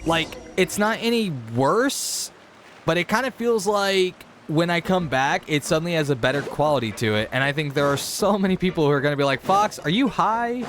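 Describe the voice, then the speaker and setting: A man's voice: on the high side, animated, normal speed, fairly bright tone, a little hiss or hum. A man doing casual, rambling, self-deprecating reaction commentary as a game streamer. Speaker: game streamer, let's-play host. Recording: game music playing behind.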